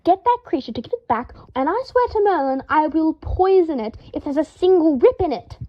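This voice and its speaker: A woman's voice: high voice, expressive, normal speed, muffled recording, voice pushed up. A woman voicing a fussy, short-tempered man for a story, wailing, snarling and swearing with indignation.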